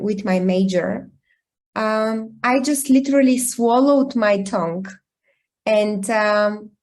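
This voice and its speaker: A woman from Bulgaria, speaking English as a guest in an online talk, telling a personal story thoughtfully and pausing often with ums. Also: mid-range voice, a little mellow. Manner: reflective, thoughtful, with frequent pauses and ums. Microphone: video call.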